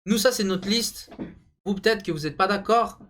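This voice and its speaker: A man's voice: sits high, normal speed, a bit bright, a little hiss or hum. A young man casually hosting a video, bantering and ranking things in a slangy, opinionated, emphatic way. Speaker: video host. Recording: music behind the voice. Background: music.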